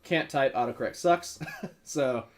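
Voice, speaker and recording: A man's voice: mid-range voice, unhurried. A man co-hosting a podcast in a casual, bantering style. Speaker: podcast co-host.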